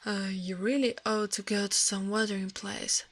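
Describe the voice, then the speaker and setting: A woman's voice: medium pitch, steady tone, moderate pace, no room sound, natural voice. A woman voicing a man's living shadow in an ASMR storybook reading, pompous, smug and condescending. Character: man's shadow come alive, smug, condescending, self-important master.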